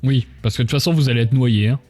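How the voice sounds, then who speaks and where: A man with a mellow, relaxed voice at an average pitch hosts a casual interview. He speaks informally, with a tongue-in-cheek edge, over faint background music. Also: fast talker. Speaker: livestream host, interviewer.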